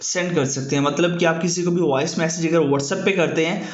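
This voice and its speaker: A man's voice: on the high side, steady tone, fairly quick. Guy doing a casual app how-to, repeats himself, echoey room and kinda dull audio. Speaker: YouTube tutorial host. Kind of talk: informal app tutorial narration.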